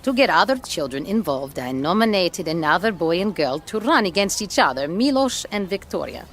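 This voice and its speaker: An actress playing a concerned, caring schoolteacher in an audio drama, speaking in a measured, earnest way. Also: female voice, fairly low pitch, sing-song, slight background noise. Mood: measured, troubled. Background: background music.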